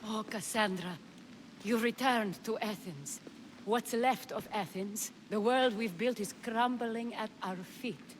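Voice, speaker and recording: A woman playing an elegant, worried, commanding Athenian noblewoman in a video game, measured and grave. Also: on the high side, slight background noise, lightly acted. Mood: bleak. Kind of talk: video game cutscene acting.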